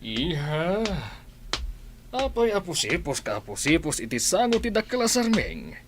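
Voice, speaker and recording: A man playing a teasing, authoritative older father and boss in an audio drama, speaking deliberately and expressively. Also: a little high, wildly swooping pitch, background noise.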